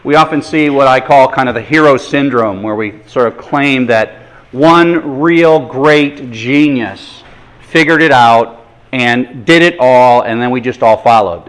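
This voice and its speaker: A man lecturing a university class in a relaxed, conversational way, with self-deprecating jokes. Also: mid-range voice, rough recording. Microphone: microphone adjusted during talk.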